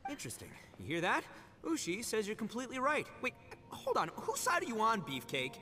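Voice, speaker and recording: A man's voice: high voice, wildly swooping pitch, fairly quick. A man voicing a brash, goofy young gang boss in a video game, blustering, stammering and full of slangy exclamations. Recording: long hall reverb, light music behind.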